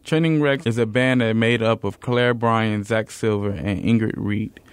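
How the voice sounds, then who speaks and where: Low-voiced male radio co-host. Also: fairly even intonation, normal speed, clear, resonant tone, no room sound. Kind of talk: casual studio interview chat. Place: radio studio.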